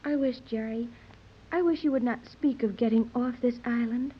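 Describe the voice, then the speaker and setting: A woman's voice: fairly high pitch, moderate pace, breathy edge. An actress playing an earnest, literal-minded young island woman who speaks formally, in 1930s radio serial style. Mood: solemn warning. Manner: formal, careful diction without contractions. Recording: dull old 1930s radio recording.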